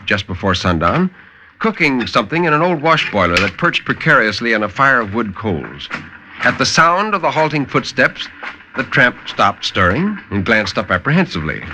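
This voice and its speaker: Expressive low-voiced man narrating old radio horror. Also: rough recording. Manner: measured, expressive storytelling with dramatic swells and hushes. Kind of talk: dramatic scripted horror story narration. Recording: vintage 1950s radio recording.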